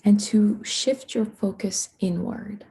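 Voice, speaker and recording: A woman guides a meditation in a very breathy, hushed voice with a deeply mellow, dark tone. She speaks slowly and soothingly, leaving long pauses between short phrases. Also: steady tone, low-quality recording. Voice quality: soft, breathy.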